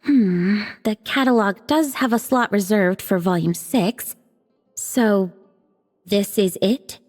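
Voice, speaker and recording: A woman's voice: medium pitch, takes it slowly, darkish tone, natural voice. A woman playing a prim, fussy librarian in a fantasy game who sounds officious and flustered. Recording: game dialogue with reverb and music.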